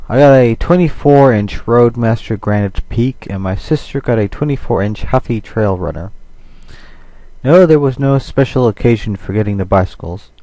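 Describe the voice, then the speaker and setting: Male vlogger, low and very mellow voice, measured explanatory delivery for a personal audio diary. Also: no room sound. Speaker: vlogger recording an audio log. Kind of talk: personal audio-log monologue, part scripted.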